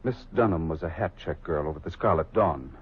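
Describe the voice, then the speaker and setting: An actor playing a suave, flirtatious wealthy shipping millionaire in a vintage radio drama, speaking in a polished, flowery manner. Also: male voice, a bass voice, fast, breathy edge, exaggerated voice. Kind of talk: 1940s radio acting. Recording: dull old radio recording.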